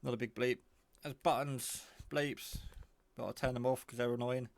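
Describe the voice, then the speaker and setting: Man, gravelly fry, low-key, thinking aloud, casual hobbyist demo. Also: level tone, moderate pace, a little hiss or hum. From England. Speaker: hobbyist reviewer. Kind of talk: casual off-the-cuff product demo.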